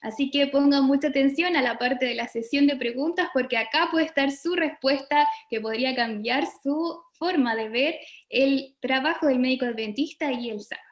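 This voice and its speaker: High-voiced Chilean woman in an echoey room. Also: normal speed. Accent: Chilean Spanish. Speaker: co-host, doctor. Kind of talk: hosting an online forum, leading prayer.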